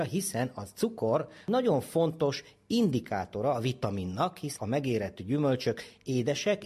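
A man speaks as a scientist being interviewed. His voice is husky and average in pitch. He explains step by step, setting up questions and then answering them. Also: darkish tone. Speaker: scientist, ethologist guest.

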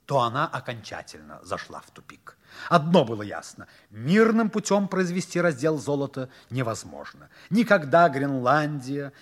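An actor narrating a 1960s Soviet radio play as a wry storyteller, with theatrical, expressive emphasis. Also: male voice, mid-range voice, husky.

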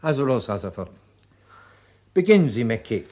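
An actor playing a stern public prosecutor in a radio crime play, clipped and commanding. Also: male voice, medium pitch, very animated, moderate pace, darkish tone, a little hiss or hum, lightly acted. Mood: brusque, commanding. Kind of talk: vintage radio play acting.

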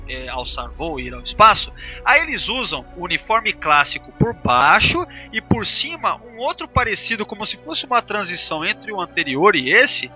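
A man's voice: sits high, bright, forceful tone. A Brazilian man hosting a podcast, chatting casually about a film in a talkative, slangy way with some swearing. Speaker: podcast host. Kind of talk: casual chat, film discussion.

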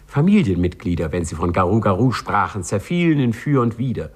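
A man narrating a 1950s radio play in a measured, ironically solemn manner, with a husky voice. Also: lowish voice, big pitch swings, darkish tone.

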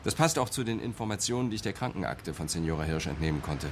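Deep-voiced, factual police captain. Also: male voice, animated, fast, a little hiss or hum. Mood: factual briefing. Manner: factual, orderly, composed. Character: matter-of-fact police captain briefing a subordinate. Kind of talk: radio crime drama acting.

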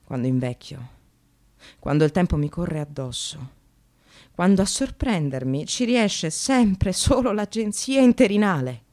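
An actress playing a bitter, wry, educated receptionist in precarious work, delivering an expressive theatrical radio monologue. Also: female voice, on the low side, a little slow. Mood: bitter, reflective. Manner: sardonic, expressive, swearing, addressing the audience.

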